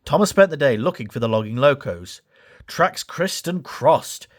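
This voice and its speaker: A man's voice: mid-range voice, lively intonation, normal speed. A man reading a children's story as a scripted storybook narration. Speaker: story reader.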